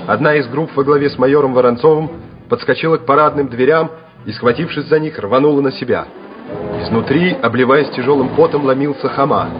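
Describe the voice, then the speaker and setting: A man's voice: mid-range voice, a little fast. A man reading scripted dramatic narration for a war radio drama. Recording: dull vintage radio recording with music.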